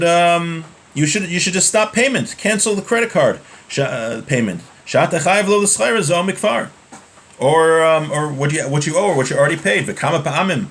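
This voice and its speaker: A man's voice: a little high, a bit bright. A man in his thirties teaching from a webcam in a small home room, reading Hebrew passages aloud and explaining each one in casual English. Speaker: Torah teacher. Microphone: webcam at desk.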